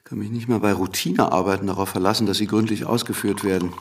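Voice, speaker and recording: An actor playing a wry, impatient lawyer in a radio drama, needling and insistent, with a gravelly, husky voice. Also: male voice, lowish voice, steady tone, quick pace, a little hiss or hum, lightly acted. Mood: impatient, attentive. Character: wry, impatient lawyer courting a married woman. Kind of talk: German radio drama acting.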